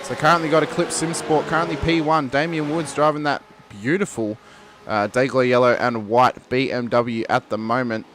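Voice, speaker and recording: Man calling a sim race, lively. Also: mid-range voice, moderate pace, background noise. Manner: lively, talks to the viewers, rises to shouts at big moments. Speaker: lead race commentator. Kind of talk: sim racing play-by-play commentary.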